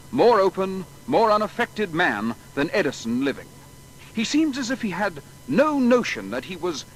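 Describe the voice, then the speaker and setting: An actor playing an admiring journalist of a past era, reading a eulogy in an earnest, literary manner. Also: male voice, high-pitched, a bit bright, a little hiss or hum, poor audio. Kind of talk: dramatised reading of a written eulogy.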